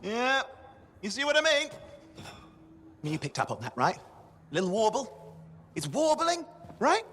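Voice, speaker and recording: An actor playing a fussy, restless young radio operator in a video game, talking emphatically and repeating himself. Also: male voice, extremely high pitch, big pitch swings, a little slow, pauses often, fairly bright tone, a little hiss or hum, heavily acted. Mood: insistent. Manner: emphatic, repetitive. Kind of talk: video game cutscene dialogue.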